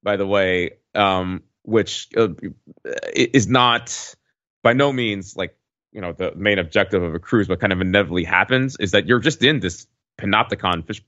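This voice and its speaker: Male podcast co-host, deep register, wry and rambling delivery, close dry sound with muffled top end. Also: fairly bright tone, dry recording. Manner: halting, digressive, wry. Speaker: podcast co-host recounting a trip. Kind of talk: casual conversational storytelling.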